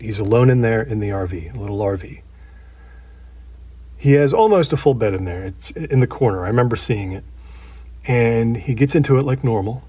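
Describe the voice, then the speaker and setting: An actor playing an ordinary man recounting a friend's haunting in a naturalistic documentary interview, halting and conversational, in short phrases with pauses. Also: medium pitch, mellow, breathy edge, no echo, close to an everyday voice. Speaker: interview subject in an audio drama.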